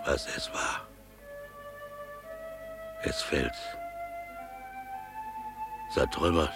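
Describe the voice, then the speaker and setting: Very deep, gravelly humble animal keeper. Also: male voice, exaggerated voice. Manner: slow, solemn, reverent delivery. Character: humble, pious male animal keeper, father pleading with a prince. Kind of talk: German radio play acting.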